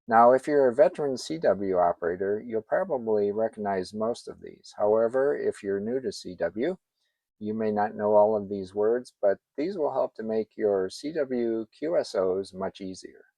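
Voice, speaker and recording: An older man giving an informal instructional narration in a measured, plain-spoken manner, as a hobbyist presenter. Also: lowish voice, gravelly, dark tone, no room sound. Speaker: amateur radio operator presenting a tutorial.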